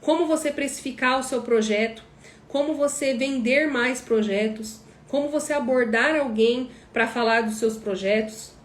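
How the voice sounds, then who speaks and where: A woman's voice: fairly high pitch, moderate pace, reverberant. A woman from Brazil teaching on a live stream as a course mentor, speaking emphatically, repeating points and asking rhetorical questions.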